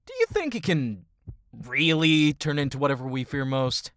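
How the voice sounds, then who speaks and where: Blunt, low-voiced, expressive adventurer played by a woman. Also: normal speed, no echo, studio quality. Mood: wry, skeptical. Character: Aeson, blunt, skeptical fantasy adventurer, protective of friends. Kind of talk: fantasy audio drama acting.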